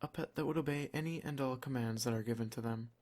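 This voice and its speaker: Guy doing a soft spoken vampire lord for asmr roleplay, mid pitched, possessive but reassuring, kinda teasing and bossy in a gentle way. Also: close to an everyday voice. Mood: calm, possessive. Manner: measured, reassuring, gently commanding. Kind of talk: ASMR roleplay, scripted intimate monologue.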